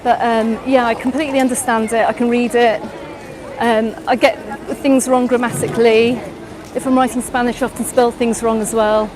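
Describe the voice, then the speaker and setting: A woman's voice: on the high side, little pitch movement, normal speed. A middle-aged English woman with an English accent, giving a live walking tour and answering viewers' questions as she goes. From England. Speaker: travel vlogger. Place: outdoors on a busy beach promenade at dusk. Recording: outdoor live stream with crowd noise. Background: crowd chatter and passing traffic.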